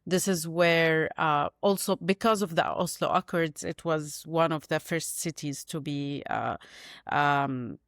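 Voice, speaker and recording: A woman in her forties, born in Syria and raised in Gaza, speaking as a podcast guest in a thoughtful, pausing way over a video call. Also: low voice, steady tone, no room sound, clean studio sound. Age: 40s. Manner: thoughtful, searching for words with many pauses. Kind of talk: reflective interview conversation.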